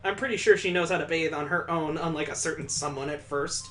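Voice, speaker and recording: Male game streamer, fairly high voice, expressive story reading with wry asides. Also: a little fast. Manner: theatrical, breaks off for wry asides. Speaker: video game let's play host. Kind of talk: expressive read-aloud of a visual novel with asides.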